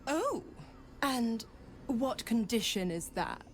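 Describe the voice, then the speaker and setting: A woman's voice: on the high side, big pitch swings, takes it slowly, soft background music. A woman playing a smug, mocking devil in a video game, with a theatrical, condescending drawl. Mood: amused, taunting. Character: smug, taunting female devil who holds a pact. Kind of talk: video game character acting.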